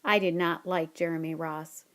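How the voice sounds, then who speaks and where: A woman reading a children's story aloud expressively, with dramatic pauses and stretched key words. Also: low voice, steady tone.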